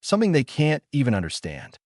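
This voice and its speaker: A man's voice: mid-range voice, wildly swooping pitch, brisk, darkish tone, dry recording, studio quality, pitched above the performer's own voice. A man voicing a solemn, portentous godlike alien being who gives ominous warnings in a sci-fi audio drama.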